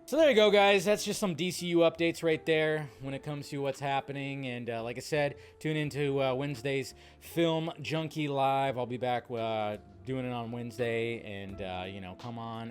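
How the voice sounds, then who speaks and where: A man's voice: mid-range voice, normal speed, hardly any pauses. Man, emphatic movie commentary over music. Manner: emphatic, rambling, slangy. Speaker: host of a film news channel. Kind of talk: casual opinionated fan commentary. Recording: music behind the voice.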